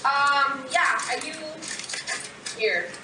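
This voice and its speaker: A woman's voice: sits high, animated, extremely slow, bright, forceful tone, distant mic. A young woman chatting casually from home over a video call. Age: young adult. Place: indoor home room with gaming computers. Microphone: webcam video call. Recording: echoey room, faint noise, video call.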